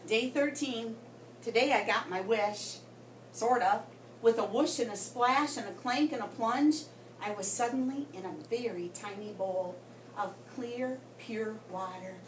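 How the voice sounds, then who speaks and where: A woman reading a story aloud to children in an expressive way, with dramatic pauses and emphasis. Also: a little high. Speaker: guest story reader for children. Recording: roomy, distant mic with background noise.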